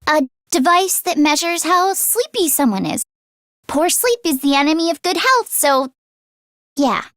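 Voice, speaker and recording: An excitable teenage girl inventor from an anime-style video game. Her voice is very high and sharply bright, with a close, dry sound. She is earnest and expressive, easily flustered. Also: measured pace, dry recording. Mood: awkward, flustered. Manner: expressive, swinging from deadpan grumbling to frantic outbursts.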